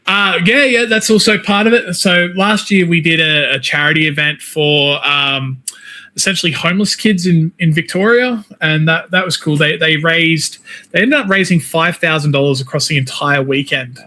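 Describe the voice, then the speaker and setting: Bright-voiced Australian man chatting. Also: a little high, moderate pace. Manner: chatty, peppers talk with "man" and "like". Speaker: interview guest, wrestler and game streamer. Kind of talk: casual interview chat.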